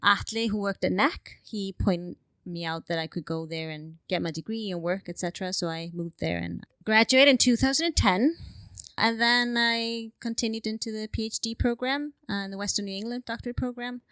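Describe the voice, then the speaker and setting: An Icelandic woman in her thirties chats as an interview guest. She speaks English as a second language and keeps joking and laughing. Her voice sits at an ordinary pitch, close and dry, with no room sound. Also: moderate pace, fairly bright tone, clear, resonant tone, dry recording. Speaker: guest researcher. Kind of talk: casual interview. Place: remote studio.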